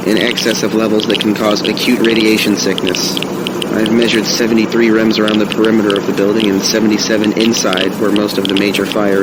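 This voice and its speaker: An actor playing a grave emergency official in an audio drama, giving deliberate warnings. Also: male voice, fairly low pitch, lightly acted. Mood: grave, alarmed. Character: grave male official reporting a nuclear radiation emergency. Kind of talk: modern audio drama acting. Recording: very loud outdoor vehicle and ambient noise.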